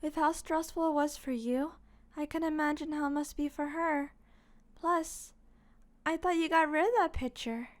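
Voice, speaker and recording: A woman playing a caring, supportive older sister with a gentle, reassuring manner in an audio drama reading. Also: sits high, normal speed, dry recording, close to an everyday voice. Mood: concerned, supportive.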